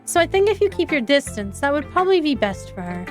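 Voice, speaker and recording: A woman voicing a confident, composed teenage club president, reading game dialogue with a smooth, persuasive manner. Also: high-pitched, big pitch swings, quick pace, soft background music. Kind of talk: reading visual novel dialogue aloud.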